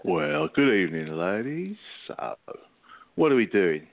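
A man's voice: medium pitch, big pitch swings, unhurried, vocal fry. A man playing a polite, gallant teacher in a radio play, speaking courteously. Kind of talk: amateur radio play acting. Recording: thin phone-like audio.

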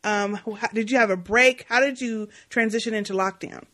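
A woman co-hosting a podcast in a casual, animated, playfully bickering style. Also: a little high, fairly quick. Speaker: podcast co-host. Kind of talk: casual, chatty podcast interview.

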